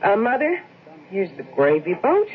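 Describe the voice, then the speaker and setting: A woman's voice: mid-range voice, big pitch swings, normal speed, close to an everyday voice. A woman playing a practical, easygoing young mother and housewife with an American accent, speaking matter-of-factly in a radio drama. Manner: matter-of-fact, conversational. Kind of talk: 1970s radio drama acting. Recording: tinny, faintly noisy old radio recording.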